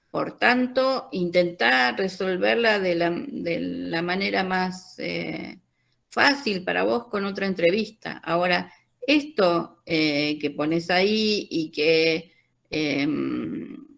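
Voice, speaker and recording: Slow-speaking Argentine woman teacher. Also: on the low side, gravelly, a bit bright. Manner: slow, hesitant with many fillers. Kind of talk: online class consultation.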